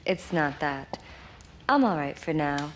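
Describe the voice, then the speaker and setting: A curt, stern young medium. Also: female voice, low-pitched, lively intonation, slow, a little hiss or hum. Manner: curt, commanding. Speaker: game character.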